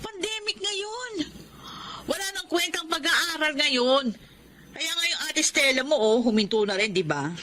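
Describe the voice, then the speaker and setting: A woman's voice: sits high, lively intonation, measured pace, pushed and bright, exaggerated voice. A woman playing a harsh, scornful, tight-fisted mother who scolds in exasperation in a Filipino radio drama.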